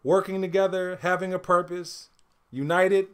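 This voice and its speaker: A middle-aged man reading a picture book aloud in an animated, dramatic storytelling style, pausing to speak to listeners. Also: sits high. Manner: expressive, dramatic swells and hushed asides to listeners. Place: indoor home studio room.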